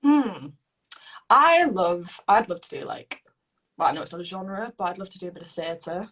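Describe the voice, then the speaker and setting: A young woman, chatting playfully and agreeing quickly in a casual conversation. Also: on the low side, animated, a bit bright. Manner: bubbly and playful, lots of ums and quick agreement. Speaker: actor answering fan questions. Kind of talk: casual chat.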